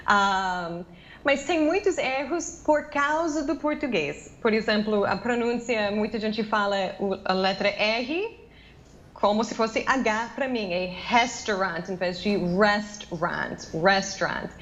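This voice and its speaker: A woman's voice: medium pitch, slow, a bit bright, lo-fi sound. An American woman whose first language is English, speaking from her home office in a remote news interview, chatty, with many fillers and restarts. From United States. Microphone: video call.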